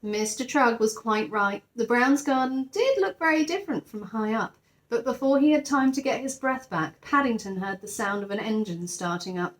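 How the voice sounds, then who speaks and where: A woman's voice: fairly high pitch, animated, normal speed, poor audio. A woman narrating a children's story expressively, like a teacher reading aloud to her class.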